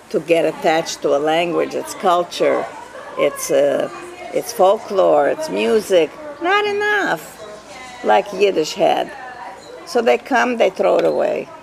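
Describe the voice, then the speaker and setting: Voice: mid-range voice, vocal fry. An older interviewee born in Russia with Russian as a first language, telling life stories emphatically and asking rhetorical questions. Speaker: Yiddish teacher, interviewee. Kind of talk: personal storytelling in an interview.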